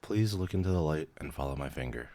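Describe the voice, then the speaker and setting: A man playing a brisk, weary vampire mentor in a scripted ASMR roleplay, measured, clinical and dry in manner. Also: a bass voice, expressive, mellow. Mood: clinical, professional. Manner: measured, clinical, dryly matter-of-fact, hesitant when surprised. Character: male vampire mentor, brisk weary clerk-medic, professional turning casual.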